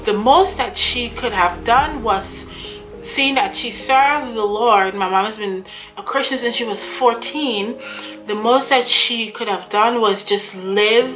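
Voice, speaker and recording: A woman's voice: a little high, takes it slowly, a bit bright, poor audio. Earnest woman vlogging. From Guyana. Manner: earnest, chatty, reads from notes. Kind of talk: casual talk to camera. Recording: music playing under voice. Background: background music.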